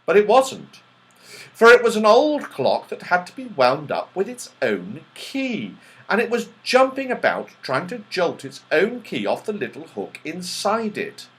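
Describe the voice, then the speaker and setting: A man reading a children's story aloud with expressive narration. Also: high voice, unhurried, low-quality recording. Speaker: audiobook reader.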